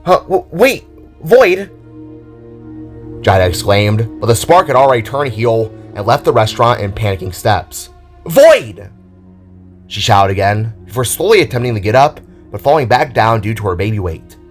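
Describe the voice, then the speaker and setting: A man's voice: on the low side, fairly quick, hardly any pauses. A man reading scripted, dramatic narration for an audio drama, with music behind his voice.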